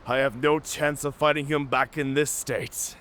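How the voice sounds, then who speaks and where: A man's voice: medium pitch, level tone, moderate pace, a bit bright, slight background noise, natural voice. A man playing a wounded, wry, talkative werewolf in human form, theatrical and bantering, in an audio drama. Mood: wary, bargaining. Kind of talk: cinematic audio roleplay acting.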